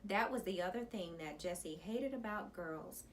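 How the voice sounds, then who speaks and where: A woman's voice: fairly low pitch, lively intonation, moderate pace. Woman reading a story, noticeable background noise. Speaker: elementary school teacher reading aloud. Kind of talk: expressive children's audiobook storytelling.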